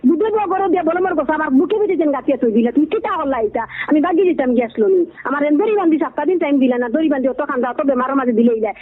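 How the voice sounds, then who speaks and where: Sylheti woman ranting on a phone call, really high voice, talks fast, aggrieved, keeps repeating herself. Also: lively intonation. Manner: aggrieved, repetitive venting. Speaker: caller. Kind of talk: heated family phone conversation. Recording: tinny, phone-like call recording.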